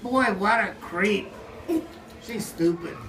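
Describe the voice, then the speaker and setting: A woman's voice: mid-range voice, slow pace. A woman playing a brusque, grumbling pizza maker in broken English for an improvised comic puppet show. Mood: scornful, exasperated. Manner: broken English with dropped words. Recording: roomy, distant mic with background noise.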